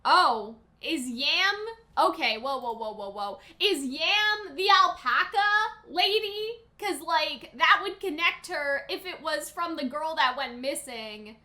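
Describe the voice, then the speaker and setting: A woman hosting a casual reaction and review commentary in an expressive, conversational, slangy way. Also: high voice, slow. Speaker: reaction video host and reviewer.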